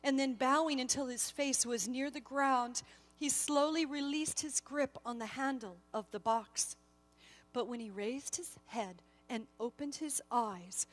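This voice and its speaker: A woman storyteller giving a solemn, deliberately phrased dramatic narration of a scripted story. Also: high voice.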